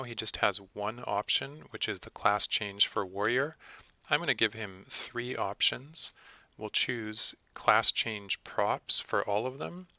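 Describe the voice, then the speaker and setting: A man narrating a step-by-step software tutorial in a methodical, instructional manner. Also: fairly low pitch, dry recording.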